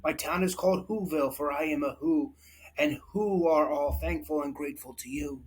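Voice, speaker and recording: Guy reading a teeny tiny mayor voice, faint and polite, kinda high. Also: moderate pace, a little hiss or hum, natural voice, poor audio. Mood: proud. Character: tiny male mayor of a speck town.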